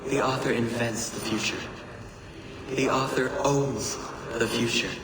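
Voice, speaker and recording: An actor playing a cryptic, solemn oracle in a video game, speaking in a grave, oratorical way with deliberate phrasing. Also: male voice, medium pitch, lightly acted. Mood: solemn, visionary. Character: cryptic, solemn male oracle musing on time. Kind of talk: scripted dramatic monologue in video game. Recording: echoey, distant, loud ambient noise.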